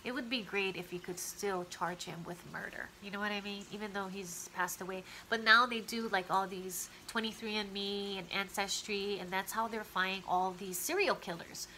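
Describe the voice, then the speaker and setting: A woman talks about a true crime case straight into her camera at home. Her voice is bright and a little stuffy from congestion. A faint hum of the room sits behind her. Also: medium pitch, fairly even intonation, moderate pace, few pauses, poor audio. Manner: chatty, opinionated, talks straight to viewers. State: stuffy nose. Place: living room at home, indoors. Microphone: camera close in front of her.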